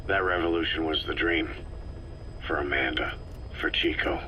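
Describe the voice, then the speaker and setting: Casting: stoic game soldier, middle-aged, extremely low gravelly voice, terse and weary, on noisy cassette tape. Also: male voice, highs cut off. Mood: somber, reflective. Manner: terse, somber, measured. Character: gruff, stoic, weary veteran soldier commander. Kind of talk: video game voice acting.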